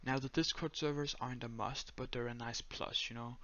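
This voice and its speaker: A young man with a Danish accent giving a step-by-step screen-recorded tutorial in a flat, monotone voice full of "uh"s and "um"s. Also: mid-range voice, fairly quick, no room sound, dull audio. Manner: monotone, many fillers. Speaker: tutorial creator.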